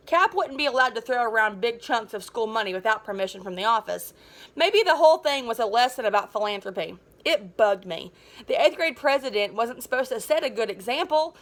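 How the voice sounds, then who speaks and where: A woman's voice: a little high, fairly bright tone. A woman reading aloud as a sour, resentful middle-school boy, a dethroned bully, narrating a children's novel with sarcastic grumbling. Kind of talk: first-person children's novel read aloud.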